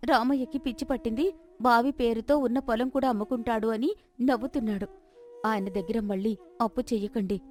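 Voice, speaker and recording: Woman acting worried, pleading farmer's wife, high-voiced. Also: steady tone, fairly quick, a little mellow, faint music behind, natural voice. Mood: warning, worried. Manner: pleading, cautioning. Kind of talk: dramatized folk moral story acting.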